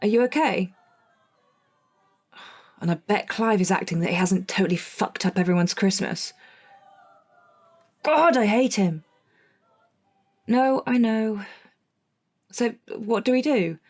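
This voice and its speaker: A woman's voice: mid-range voice, takes it slowly, long pauses, a little mellow. An actress with a British accent playing a blunt, sweary young woman in a naturalistic audio drama. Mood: worried, annoyed. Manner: informal, exasperated, sweary. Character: Rachel, blunt, sweary young woman missing her partner.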